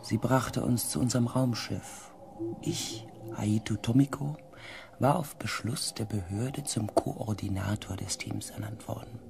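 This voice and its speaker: An actor playing a space expedition coordinator who narrates his mission in a measured radio drama style, gravelly and breathy at phrase ends. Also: on the low side, noisy background. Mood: sober recounting. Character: male space expedition team coordinator recounting a far-future mission.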